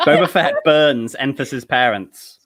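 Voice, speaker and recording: A man's voice: medium pitch, a little slow, a bit bright. A man reading stage directions as scripted narration at a comedic table read.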